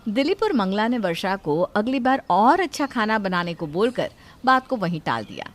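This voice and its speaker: A woman's voice: medium pitch, very animated, normal speed. A woman narrator reading a scripted moral story.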